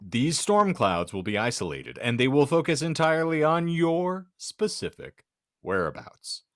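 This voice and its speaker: A man's voice: on the high side, huge pitch swings, unhurried, a bit bright, no echo, studio quality. A man hosting a podcast, reading out a comic hypothetical and bantering in a wry, wordy, mock-formal manner. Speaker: podcast host.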